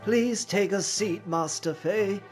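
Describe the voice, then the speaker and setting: A man acting a high-voiced courteous old butler. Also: takes it slowly, soft background music. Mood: polite, welcoming. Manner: formal, deferential. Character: courteous old male butler, loyal and dignified.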